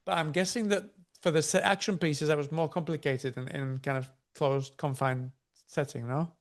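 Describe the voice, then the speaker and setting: A man's voice: fairly high pitch, fairly even intonation, moderate pace. A man hosting an interview, asking questions eagerly and agreeing often. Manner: eager, frequent agreeing backchannels. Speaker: interview host.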